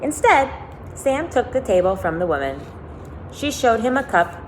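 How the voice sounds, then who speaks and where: A woman teacher reading a children's novel aloud expressively and pausing to think aloud for her listeners. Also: fairly high pitch, sing-song, a little hiss or hum, poor audio. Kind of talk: read-aloud storytelling with commentary.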